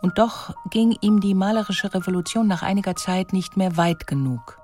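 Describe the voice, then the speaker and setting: A woman's voice: mid-range voice, normal speed, dark tone, soft background music. A woman reading scripted documentary narration in an articulate, composed manner.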